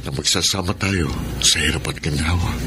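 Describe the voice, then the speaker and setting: A man's voice: rumbling low, a little fast, heavy background noise. An actor playing a remorseful man who earnestly begs his beloved's forgiveness in a classic radio drama. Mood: solemn, earnest. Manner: earnest, pleading, solemn. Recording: loud music under the voice.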